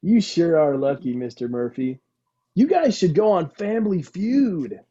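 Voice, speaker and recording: A man's voice: on the high side, sing-song, a little slow, dark tone, slightly rough, heavily acted. A man playing a sweet, dim, lovesick young slacker with slangy, earnest, boastful talk in a comedy table read. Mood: cheerfully boastful. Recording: dull, lo-fi video call sound.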